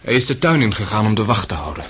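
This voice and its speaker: An actor playing an earnest, polite, devout young son and loyal brother in a radio drama. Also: male voice, on the low side, big pitch swings, fast, fairly bright tone. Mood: gentle, dutiful.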